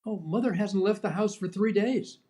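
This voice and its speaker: A man's voice: sits high, normal speed, mellow, natural voice. Male actor as naive young man, old-time radio comedy style, befuddled and simple-minded. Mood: earnest. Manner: guileless, dim-witted.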